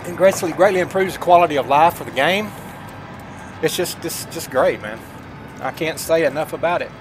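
A man's voice: fairly high pitch, moderate pace, music in the background. A middle-aged man giving casual, folksy gameplay commentary, chatting informally to his viewers. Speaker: gaming streamer. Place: indoors at a computer desk, webcam.

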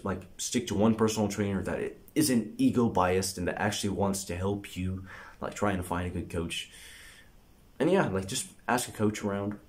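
A man's voice: deep voice, a little mellow. A young man giving casual, emphatic fitness advice to camera in an informal vlog style. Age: young adult. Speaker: fitness vlogger. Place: living room, seated on a couch indoors.

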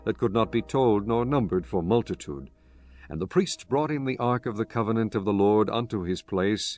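Man narrating the bible, lowish voice, formal and measured, faint music under it. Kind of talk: scripted scripture narration.

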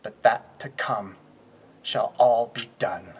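A man performing an impassioned Roman statesman in a declamatory, theatrical Shakespearean monologue, with a husky voice. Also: medium pitch, takes it slowly, slight background noise, close to an everyday voice. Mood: grave, restrained. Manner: declamatory, swinging from whispers to shouts. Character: impassioned Roman statesman orating grief and war.

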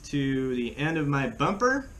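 A middle-aged American man giving a casual how-to demonstration in a plain-spoken, halting way, recorded indoors by a camera across a table. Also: mid-range voice, big pitch swings, fairly bright tone. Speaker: fishing channel host. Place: small room at home, indoors. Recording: clear background room noise.

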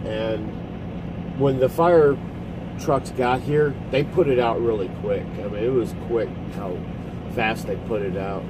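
A middle-aged man, a truck driver, talking casually to his phone camera from the cab of his parked truck, rambling and halting as he explains. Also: mid-range voice. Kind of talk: casual vlog commentary. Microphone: phone camera at arm's length. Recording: phone recording in cab with engine noise.

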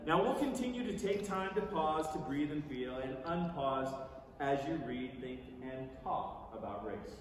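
A man's voice: fairly high pitch, lively intonation. Echoey American man reading on stage. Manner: conversational, deliberate, theatrical delivery with emphatic pauses. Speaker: stage reader performing a book. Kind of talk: dramatic staged reading to an audience. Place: large theatre stage, indoors. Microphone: far audience camera. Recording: distant, echoey theatre recording with noise.

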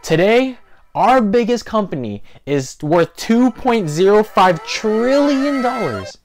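A man's voice: sits high, sing-song, slow. Young man explaining in a casual, emphatic way. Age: 20s. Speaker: host of an investing video channel. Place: small living room, indoors. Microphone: lapel mic.

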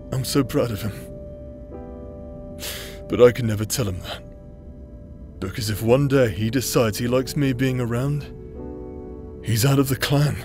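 A man's voice: mid-range voice, lightly acted. A man acting in an intimate audio roleplay as a teasing, roguish outcast brother who plays the villain but is secretly tender, with a hesitant, chuckling drawl. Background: music.

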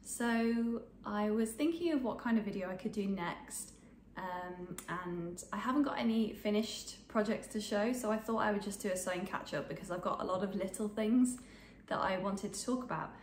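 A woman's voice: mid-range voice, normal speed, darkish tone, roomy sound, a little hiss or hum. A woman in her twenties with a bit of a cold, chatting casually about sewing to camera from her sewing room, rambling with lots of ums. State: snuffly from a cold. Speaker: sewing vlogger. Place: home sewing room, indoors.

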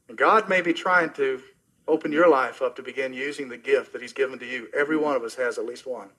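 A middle-aged man preaching a sermon as pastor, earnest and personal, often dropping soft and low at the ends of phrases. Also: slightly rough, poor audio. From Oklahoma, US.

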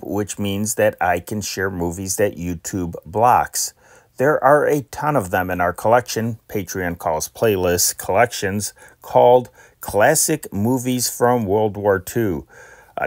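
A man's voice: fairly low pitch, no echo, studio quality. A man hosting an online channel, giving a casual scripted promotional narration. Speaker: channel host.

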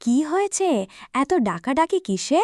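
A woman's voice: high voice, very animated, measured pace, no room sound, faint music behind. A woman voicing a scolding, worn-down housewife and mother in a cartoon, with a nagging, complaining manner. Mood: irritated surprise. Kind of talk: cartoon dialogue acting.

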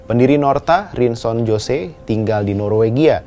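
A man's voice: medium pitch, animated, measured pace, dark tone. A man narrating a scripted news explainer as a voice-over. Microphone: voice-over microphone. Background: background music.

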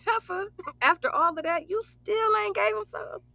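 An actress playing a brash, bawdy but loyal young best friend, blunt and teasing, in an audio drama. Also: female voice, very high-pitched, moderate pace, gravelly, bright, forceful tone, no echo, heavily acted. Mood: teasing, pushy. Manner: sassy, blunt, foul-mouthed, teasing. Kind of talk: casual conversational audio drama.

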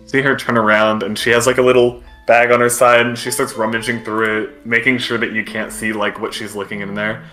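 A man running a tabletop roleplaying game as game master. His voice is bright and forward at a middle pitch, and he narrates and explains the play over music. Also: level tone, a little fast, hardly any pauses, low-quality recording.

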